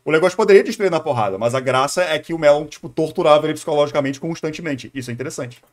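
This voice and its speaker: A man's voice: on the high side, very fast. A man with a Brazilian accent giving casual, slangy, argumentative reaction commentary as a streamer.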